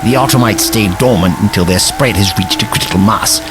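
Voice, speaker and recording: A grave, authoritative time-traveling man of learning, played by a male actor in a science fiction audio drama. His voice is low and his phrasing measured and formal, as if lecturing. Prominent music plays behind him. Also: close to an everyday voice. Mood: grave, explaining.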